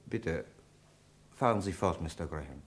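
An actor playing a stern, sardonic chief interrogator in radio crime drama, with a commanding manner. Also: male voice, low voice, expressive, a little slow. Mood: courteous, controlled. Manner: commanding, barking at the suspect, courteous to witnesses. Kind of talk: 1950s German radio crime drama acting.

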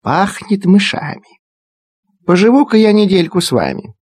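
A man's voice: high-pitched, big pitch swings, normal speed, a little mellow, no room sound, clean studio sound. A man voicing a huge, greedy, self-satisfied storybook tomcat in a gloating manner. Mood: sly, smug.

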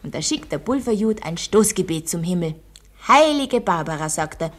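A woman's voice: lowish voice, lively intonation, moderate pace. A woman playing an Austrian village schoolteacher in a radio play, quizzing her pupils and telling them a heroic tale with didactic emphasis. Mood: dramatic, suspenseful. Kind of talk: radio drama, classroom storytelling.

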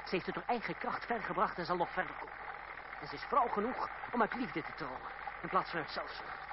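An actor playing a proud, idealistic young gentleman turned stage player in an old radio drama, earnest and pointed. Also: high-pitched, lively intonation, brisk. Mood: indignant. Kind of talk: old-fashioned Dutch radio drama acting. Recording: noisy, muffled old radio play recording.

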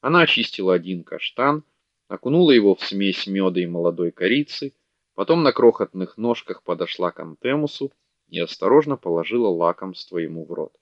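A man narrating a historical novel in a steady, measured reading voice. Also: deep voice, no echo. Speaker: audiobook narrator. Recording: dull dry lo-fi audiobook recording.

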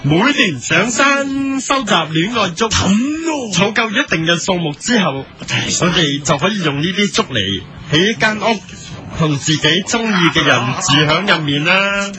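High-pitched, chuckling old villager. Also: male voice, lively intonation, measured pace. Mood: contented, proud. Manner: chuckling, sighing, rambling storyteller. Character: cheerful, wistful elderly Japanese villager, widower, fond of chuckling. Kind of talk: mock documentary interview in a radio comedy.

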